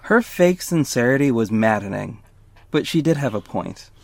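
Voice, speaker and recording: A man's voice: medium pitch, lively intonation, normal speed, gravelly, dry recording. Man as a wry confiding narrator, close and dry. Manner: confiding, snarky asides. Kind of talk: first-person scripted narration in audio drama.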